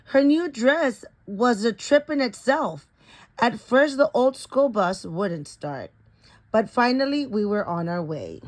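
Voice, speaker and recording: A woman's voice: sits high, darkish tone. A woman reading a children's picture book aloud expressively, with the occasional stumble over a word. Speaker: storybook reader.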